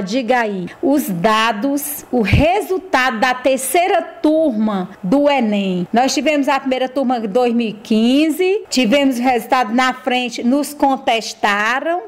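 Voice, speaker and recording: Proud school director, a woman, slightly high voice, talks in emphatic speechmaking bursts. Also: a little slow, few pauses. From Ceará, Brazil. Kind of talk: radio interview guest.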